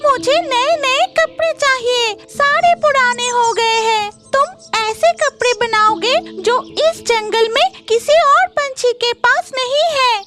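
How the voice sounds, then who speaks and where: Woman as spoiled young cartoon bird girl, very high voice, demanding, vain, unhurried, music behind. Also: fairly bright tone, exaggerated voice. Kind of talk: Hindi children's cartoon dialogue.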